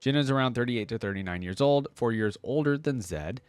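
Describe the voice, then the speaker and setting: A man's voice: mid-range voice, expressive, fairly quick, smooth, dry recording, faint music behind. A man hosting a reaction video, reading aloud and musing casually with interjections.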